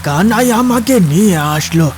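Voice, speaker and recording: A man playing a village herbal healer in a cartoon, speaking in a measured, matter-of-fact, explanatory way. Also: high-pitched, animated, darkish tone, slight background noise. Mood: calm, explanatory. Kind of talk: cartoon voice acting.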